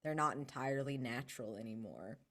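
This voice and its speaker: A man narrating an improvised scene as a tabletop game player, expressive and dramatic. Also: medium pitch, brisk.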